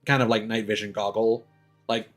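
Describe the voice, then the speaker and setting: A man giving casual live game stream commentary in a rambling, halting, mildly griping way. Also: on the low side, little pitch movement, faint music behind.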